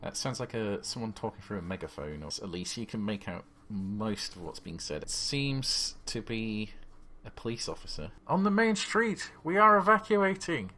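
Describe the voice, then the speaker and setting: A man with a low voice runs a tabletop horror game as game master. He describes scenes conversationally, improvising as he goes, with faint noise in the background. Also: lively intonation, normal speed, fairly bright tone.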